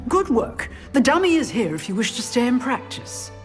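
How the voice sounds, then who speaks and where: A woman's voice: fairly high pitch, sing-song, normal speed, over music. An actress playing an older witch professor in a video game, poised, formal and wry, with a British accent. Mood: instructive. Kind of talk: video game character acting.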